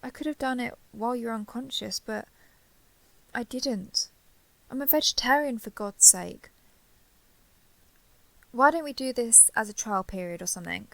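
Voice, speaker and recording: A young woman playing a caring giant dragon girl in an intimate audio roleplay, speaking to the listener in a gentle, reassuring, slightly hesitant way. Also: a little high, normal speed, gravelly, long pauses, no echo, low-quality recording. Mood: earnest, coaxing.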